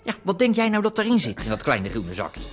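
An actor playing a scheming Rotterdam hustler in a naturalistic radio drama, talking in wheedling, persuasive, colloquial sales patter. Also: male voice, sits high, huge pitch swings, a little fast, music in the background. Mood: cocky, goading. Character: scheming Rotterdam hustler, boxing-school owner, pushy and persuasive.

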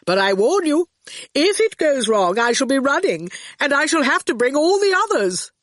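A flamboyant, imperious young man from a storybook, played by a woman, with a high voice that swings freely. He is quick-tempered and exclaims his orders theatrically, in a close, dry recording. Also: sing-song, no echo. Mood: playful warning.

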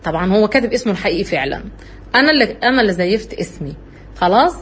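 A woman with an Egyptian Arabic accent giving an earnest religious talk, telling her own story in an animated way. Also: medium pitch, very fast, slight background noise. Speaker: religious speaker.

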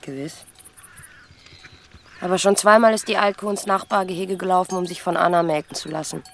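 Casting: female actor for poor young herding girl in a radio play; slightly low voice, plain, guarded, earnest. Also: steady tone, brisk, natural voice. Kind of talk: scripted German radio drama acting.

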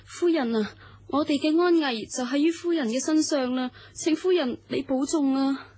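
An actress playing an anxious, deferential young maidservant in a radio drama, pleading and fussing. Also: female voice, high-pitched, normal speed, dark tone, close to an everyday voice. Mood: pleading, earnest. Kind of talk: Cantonese radio drama acting.

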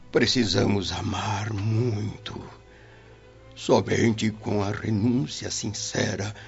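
A man acting a compassionate, solemn elderly spiritual father in a radio drama, with a gravelly voice and a preaching delivery. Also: lowish voice, full character voice. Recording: dull lo-fi audio with music.

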